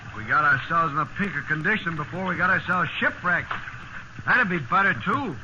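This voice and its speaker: A man's voice: fairly high pitch, expressive, fairly quick, natural voice. An actor playing a wry, grumbling crewman in a lifeboat in a 1950s radio drama. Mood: wry, tired. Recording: muffled, noisy old radio recording.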